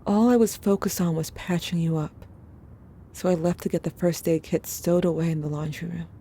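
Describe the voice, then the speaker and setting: A woman playing a haunted, protective sibling in an audio drama, confiding a traumatic memory in a hushed, subdued way. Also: lowish voice, steady tone, moderate pace, a little hiss or hum, natural voice. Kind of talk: intimate scripted second-person narration.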